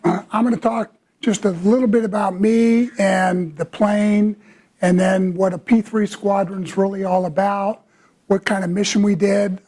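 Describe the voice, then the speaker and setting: Hoarse older man giving a talk. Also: sits high, a little mellow. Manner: plain explanatory storytelling with asides. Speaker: guest speaker, former Navy pilot. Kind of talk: club presentation talk.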